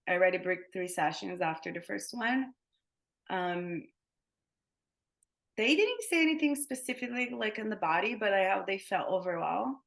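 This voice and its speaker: Woman yoga teacher, chatty and halting. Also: mid-range voice, a bit bright. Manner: chatty, many fillers and restarts. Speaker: yoga teacher and Thai massage therapist, guest. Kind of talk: casual interview conversation.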